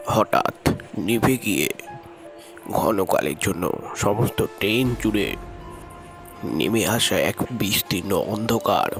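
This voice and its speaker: A man narrating a horror story in a measured storytelling manner. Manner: measured storytelling, raises his pitch for the young hero's lines.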